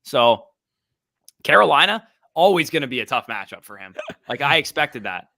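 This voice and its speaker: A man's voice: medium pitch, sing-song, normal speed, vocal fry, bright, forceful tone. A young man co-hosting a casual sports podcast, opinionated and rambling, often laughing. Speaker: podcast co-host.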